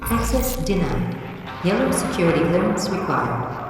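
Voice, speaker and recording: A woman's voice: lowish voice, sing-song, moderate pace. An actress playing an impersonal, official female computer that makes clipped announcements in a video game facility. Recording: echoing distant PA with loud background noise.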